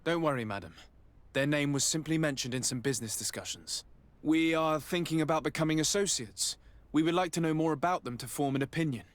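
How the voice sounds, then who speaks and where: An actor playing a diplomatic, measured and formal nobleman in a video game. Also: on the high side, dry recording, lightly acted. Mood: polite. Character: composed, diplomatic young noble envoy. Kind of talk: dramatic video game dialogue. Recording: faint outdoor ambient noise behind.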